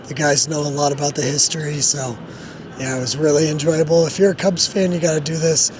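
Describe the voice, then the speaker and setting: A hoarse man, a travel vlog host who has nearly lost his voice, enthusiastically recommending a tour outdoors on a city street. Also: fairly high pitch, flat delivery, fairly quick, a crowd in the background. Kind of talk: on-the-spot recommendation to camera. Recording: loud street noise, traffic. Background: traffic and city noise.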